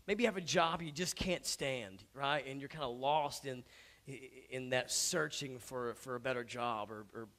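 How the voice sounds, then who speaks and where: A man in his thirties, a hoarse pastor, preaching conversationally on a church stage with many halting restarts that build to emphatic points. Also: medium pitch, pushed and bright. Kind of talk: sermon. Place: church stage before an audience.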